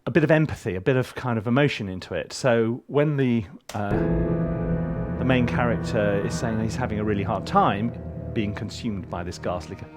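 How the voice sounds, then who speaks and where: A man's voice: on the low side, normal speed, loud music behind the voice. Casual, playful man giving a tutorial. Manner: rambling, playful, animated delivery. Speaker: film composer and music tutor.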